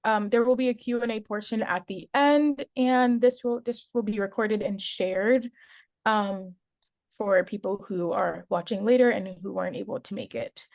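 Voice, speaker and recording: A woman's voice: mid-range voice, moderate pace, a little mellow, dry recording. A young woman moderating a webinar over a video call, friendly and hesitant with many ums.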